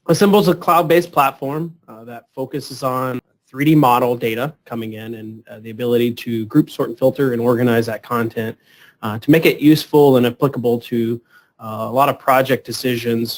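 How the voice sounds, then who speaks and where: A man hosting a webinar, introducing a product in a halting way with many fillers and repeated words. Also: medium pitch. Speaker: webinar host. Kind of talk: semi-scripted product introduction.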